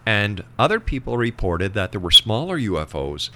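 A man's voice: on the low side, big pitch swings, moderate pace, pushed and bright. A man hosting an interview show, talking conversationally and making his points with emphasis.

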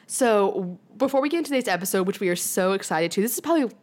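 A woman's voice: medium pitch, expressive, fast, a little mellow. Chatty young woman podcast host. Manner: chatty and upbeat, peppered with "like". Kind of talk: casual podcast chat. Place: office in an apartment.

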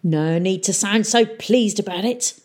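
A woman's voice: mid-range voice, a little slow, a little mellow, voice pushed up, natural voice. A woman voicing a coarse, swaggering male pirate mayor who snarls and grunts. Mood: snarling. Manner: snarling, hissing, gruff.

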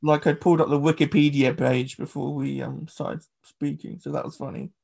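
A man's voice: on the high side, flat delivery, moderate pace, vocal fry, darkish tone. An English man hosting a podcast, rambling through casual chat with lots of filler words. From England. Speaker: podcast host.

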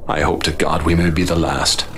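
A man's voice: a bass voice, level tone, normal speed, background noise. A man narrating a radio drama as a professor, tense and dramatic, sometimes stumbling over his words. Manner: tense and dramatic, with stammered restarts. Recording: music playing under the voice.

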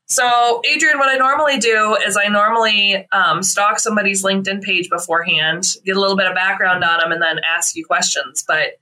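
A woman's voice: mid-range voice, fairly even intonation, fairly quick, few pauses, pushed and bright, poor audio. A woman hosting a podcast interview in a friendly, chatty way. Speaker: podcast host. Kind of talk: casual interview.

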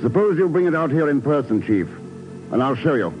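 An actor playing a calm, shrewd investigator in a 1950s radio drama, setting out plans with assurance. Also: male voice, fairly high pitch, fairly quick, over loud music. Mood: brisk, assured. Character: calm, shrewd male investigator laying a trap. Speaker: lead actor. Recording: dull vintage radio broadcast recording.

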